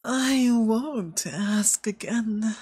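Woman voicing a breathy, high-pitched possessive yandere boyfriend. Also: very slow, no echo, full character voice. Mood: menacing, demanding. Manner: soft, cloying, coaxing with veiled menace. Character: possessive, manipulative young yandere femboy boyfriend. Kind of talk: ASMR roleplay.

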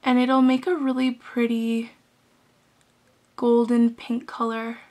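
A young woman in her twenties, hoarse from being sick, chatting through a step-by-step makeup tutorial with plenty of "um"s, recorded in a small bedroom on the camera mic. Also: on the high side, flat delivery, slow pace, a little mellow. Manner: chatty, instructive, frequent "um"s. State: hoarse from illness, losing her voice.

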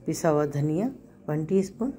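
A woman with a Pakistani Urdu accent and a hoarse, sore throat, chatting through a home recipe step by step and correcting herself as she goes. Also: low voice, animated, moderate pace, warm tone, slight background noise. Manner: chatty, explains step by step with small self-corrections. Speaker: home cook host. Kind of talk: casual cooking narration.

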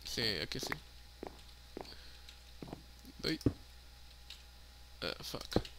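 A man's voice: slow, noisy background. A young man with a Mexican Spanish accent, chatting casually and joking as he narrates his own Minecraft play, swearing freely and dropping in English words. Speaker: gaming YouTuber.

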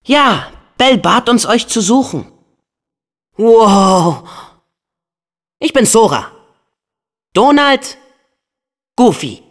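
An actor voicing an earnest, excitable teenage boy hero in a video game, with a youthful, expressive and eager delivery. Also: extremely high pitch, extremely slow, pauses often, heavily acted. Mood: eager, friendly. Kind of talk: video game character dubbing.

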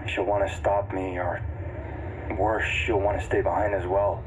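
A man's voice: sits low, level tone, moderate pace, lo-fi sound, background noise, natural voice. A man acting a weary starship chief engineer, recording a reflective, subdued personal log in an audio drama. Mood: nervous, subdued.

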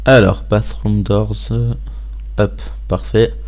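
A man's voice: fairly low pitch, level tone, slow, darkish tone. A man hosting a gaming video, giving casual gameplay commentary and thinking aloud in an informal way.

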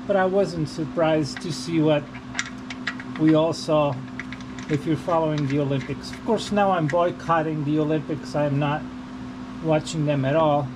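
Slow-talking man over background noise. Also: on the high side. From Portugal. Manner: halting, rambling, repeats himself. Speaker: vlogger. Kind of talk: casual unscripted vlog chat while working. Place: working on a car during an oil change. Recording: noisy, with vehicle and ambient hum.

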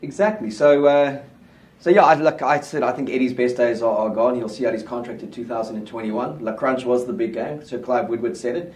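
A middle-aged rugby pundit talking in a small studio, confident and steady as he lays out his predictions. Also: mid-range voice, fairly quick, recorded from a distance. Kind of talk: casual rugby chat. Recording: roomy studio sound, mic set back.

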